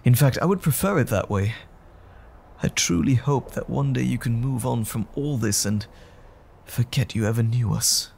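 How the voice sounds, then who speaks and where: A man playing a troubled, apologetic gentleman scientist in an audio roleplay drama, speaking in a formal, halting, anxious way. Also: medium pitch, creaky, slight background noise, natural voice. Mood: apologetic, pleading.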